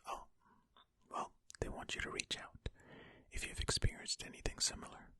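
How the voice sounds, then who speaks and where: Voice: normal speed, sharp tone, no echo. An ASMR host whispering breathily and without voice, close to the microphone, reading aloud and talking casually. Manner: casual asides between read-out passages. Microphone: close ear-to-ear ASMR microphone.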